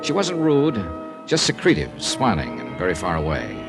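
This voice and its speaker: A world-weary American private investigator from old-time radio. His low voice swings expressively through dry, wry first-person narration, with music on the vintage recording. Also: huge pitch swings, normal speed, full character voice. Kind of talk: 1950s radio detective narration and acting.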